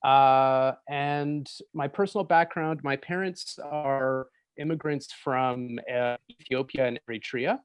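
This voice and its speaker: A man's voice: mid-range voice, fairly even intonation, slow pace, clean tone, no echo. A young Canadian man, a psychiatry resident, introducing himself politely on a panel.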